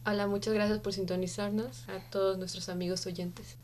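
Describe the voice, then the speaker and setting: A woman speaks as a journalist guest on a radio interview, explaining her points carefully. Her pitch sits in the middle and stays even, without much rise and fall. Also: moderate pace, a little hiss or hum. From Mexico.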